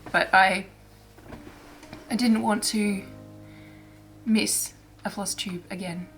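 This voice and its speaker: A woman hosting her own crafting vlog in casual chat, her voice hoarse and raspy from throat irritation, talking softly and haltingly with frequent restarts. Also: mid-range voice, fairly even intonation, soft background music. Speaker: cross-stitch vlogger.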